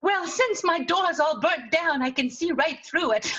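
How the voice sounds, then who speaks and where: A woman's voice: very high-pitched, lively intonation, measured pace, fairly bright tone, exaggerated voice. A woman playing a ditzy, affectionate, lovelorn young showgirl in an improvised period radio soap, breezy and guileless, on dull audio.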